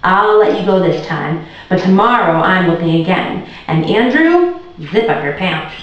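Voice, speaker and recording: A woman's voice: lowish voice, expressive, moderate pace, natural voice. A woman voicing a firm, fussing but indulgent mother in a children's storybook. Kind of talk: children's storybook read aloud. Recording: very echoey room, distant muffled mic.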